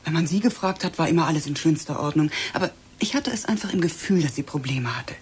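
Earnest low-voiced woman. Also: expressive, fast talker, breathy edge, heavily acted. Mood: concerned. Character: anxious, considerate woman smoothing things over, hostess. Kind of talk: radio drama acting.

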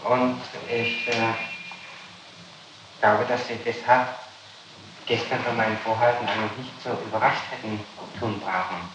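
A man working as a police interrogator, speaking in halting, formal officialese as he questions and reads out statements, on an old 1960s tape. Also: fairly low pitch, steady tone, very echoey, background noise. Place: reverberant room indoors.

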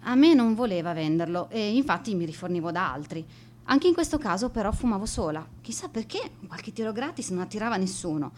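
An actress playing a lonely outcast high school girl in a radio drama monologue, confiding in a wry, self-deprecating way. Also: very animated, a little fast, a little mellow, a little hiss or hum, natural voice. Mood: rueful.

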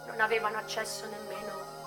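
An actress playing a worldly, wistful retired brothel madam in a theatrical radio monologue, with dramatic pauses and swings between hushed and emphatic delivery. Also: female voice, mid-range voice, little pitch movement, over loud music, close to an everyday voice. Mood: defiant, bitter.

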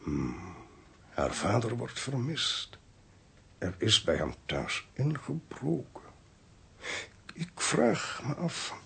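Wry, theatrical, creaky-voiced police chief. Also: male voice, medium pitch, wildly swooping pitch, normal speed, full character voice. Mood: pondering, intrigued. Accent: Dutch (Netherlands). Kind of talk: radio drama acting.

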